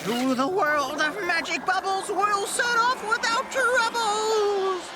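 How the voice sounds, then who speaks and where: A woman voicing a cheerful young dragon in a children's cartoon, with an expressive storytelling delivery. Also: extremely high pitch, takes it slowly. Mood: eager, mischievous. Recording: music under the voice.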